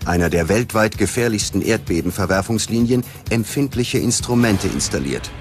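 A man's voice: lowish voice, lively intonation, moderate pace, slight background noise. A man narrating a documentary from a script in a grave, dramatic manner. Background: background music.

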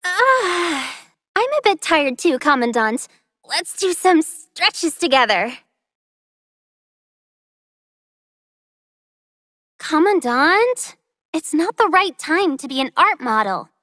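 Bubbly young artist heroine, video game character, very high voice, unhurried, coy and teasing. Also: female voice, very animated, bright, forceful tone, dry recording, studio quality, heavily acted. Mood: languid.

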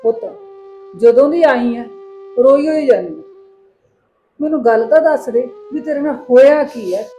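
A woman playing a kindly middle-aged aunty in an emotional drama, coaxing and soothing with gentle insistence. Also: sits high, animated, normal speed, long pauses. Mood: concerned. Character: kindly, motherly older aunty comforting a distressed young woman. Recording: music behind the voice.

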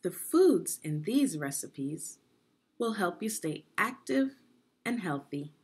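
A middle-aged woman with a slightly husky voice, giving a deliberate, instructive read-aloud lesson for young children. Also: lowish voice, big pitch swings. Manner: deliberate, instructive, with pauses and questions. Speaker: education consultant reading aloud to children.

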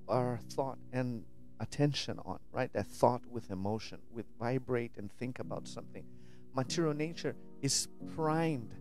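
A man's voice: mid-range voice, darkish tone. Earnest Brazilian-raised man musing over music. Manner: earnest, searching, many restarts and repeated "right?", gestures a lot. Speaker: spiritual vlogger. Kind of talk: reflective monologue to camera. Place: home studio with guitars and purple lights. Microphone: studio mic on a stand, headphones.

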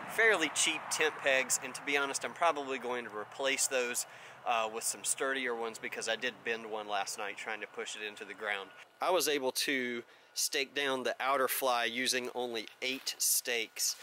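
A man's voice: medium pitch, normal speed, bright, forceful tone, a little hiss or hum. An American man around forty giving a casual gear review in vlog style. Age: 30s to 40s.

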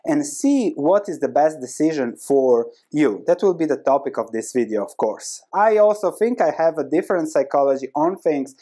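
A man in his thirties with a Croatian accent, a native Croatian speaker, giving an animated, conversational explanation to camera with emphatic repetition. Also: fairly high pitch, moderate pace, hardly any pauses. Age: 30s. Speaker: host of an investing video channel. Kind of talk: explanatory book summary to camera. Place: small indoor room with bookshelves. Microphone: lapel mic.

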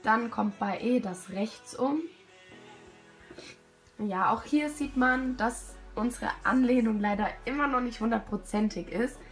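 A hoarse young woman with a cold, talking casually and self-critically over a video of her riding. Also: a little high, a little slow, faint music behind. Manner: self-critical, chatty, many fillers. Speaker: rider commenting her own show-jumping test video. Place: at home, voice-over.

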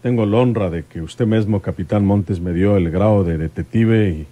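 An actor playing a vengeful, plain-spoken rural detective with a Mexican accent in a radio drama. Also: male voice, on the low side, normal speed, warm tone, lightly acted. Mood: firm, defiant. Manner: rustic, deferential yet stubborn speech.

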